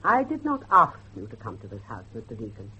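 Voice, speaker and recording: Man as very husky imperious old grandmother. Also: mid-range voice, huge pitch swings, brisk, sharp tone, heavily acted. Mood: haughty, defensive. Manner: haughty, formal, theatrical. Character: indignant, imperious old grandmother of a grim household. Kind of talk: horror audio drama acting. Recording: muffled lo-fi recording.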